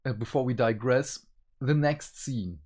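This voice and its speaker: A man's voice: medium pitch, unhurried, a little mellow, breathy edge. A man co-hosting a casual review chat, enthusiastic and prone to giggling. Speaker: reviewer, co-host.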